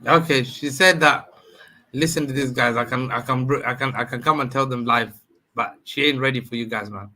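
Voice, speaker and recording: Forceful, bright-voiced man debating football. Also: mid-range voice, brisk. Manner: emphatic, repeats phrases for emphasis. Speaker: football panel guest. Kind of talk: heated football debate on a livestream.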